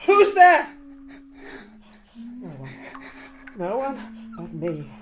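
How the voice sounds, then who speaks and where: Evil old countess, slow and taunting, swoops all over, lowish voice, horror audio drama. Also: female voice, wildly swooping pitch, exaggerated voice. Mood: frantic, raving. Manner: theatrical, sinister, drawn-out, dropping to hissed whispers. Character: cruel, mad, gloating old noblewoman murderess, haughty and taunting. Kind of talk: horror audio play acting.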